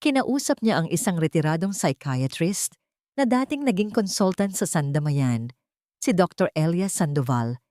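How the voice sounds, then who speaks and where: Low-voiced woman narrating a dramatic story. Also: expressive, normal speed, dark tone, dry recording, clean studio sound.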